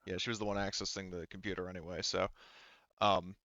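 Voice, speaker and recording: Male game master, brisk casual explainer, slightly low voice, mildly muffled lo-fi mic. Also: flat delivery, sharp tone.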